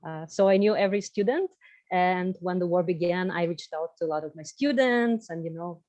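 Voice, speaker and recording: Low-voiced young woman, halting speech. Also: darkish tone. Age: young adult. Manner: halting, with frequent fillers and restarts. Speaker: interview guest. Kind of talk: conversational interview.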